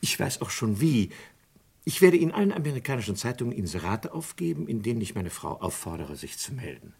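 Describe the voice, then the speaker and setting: Gravelly, evasive respectable doctor. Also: male voice, medium pitch, sing-song, a little fast, darkish tone, heavily acted. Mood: obliging, uneasy. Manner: formal, courteous, protesting his respectability. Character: respectable, self-controlled doctor, smoothly evasive murder suspect. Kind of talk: German radio crime play acting of the 1950s-60s.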